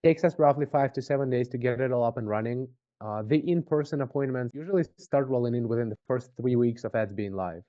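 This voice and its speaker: A man making a conversational sales pitch on an online call, informal and often checking in with questions. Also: quick pace, few pauses, no room sound, muffled recording. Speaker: sales representative for a marketing agency.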